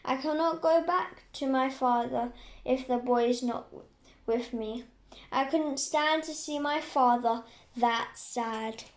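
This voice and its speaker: An anguished, pleading brother in an amateur Bible drama, read by a woman. The voice is very high and the delivery halting, with small stumbles as the plea goes on. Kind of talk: dramatic scripture reading.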